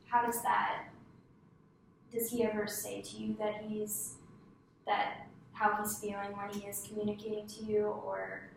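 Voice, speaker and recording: Gravelly-voiced woman interviewer, echoey room. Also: mid-range voice, monotone. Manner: polite, halting questions with many fillers. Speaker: student clinician interviewer. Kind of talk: mock clinical interview. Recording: echoey room, distant mic, faint noise.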